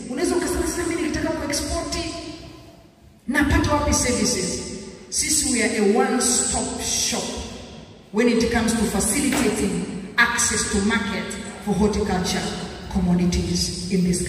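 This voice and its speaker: A middle-aged woman with a Tanzanian accent, giving an animated public lecture on a handheld mic. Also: on the high side, slight background noise. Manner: animated, gestures while walking the stage. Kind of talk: public lecture mixing Swahili and English. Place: large hall with stage. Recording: echoey hall recorded from far off.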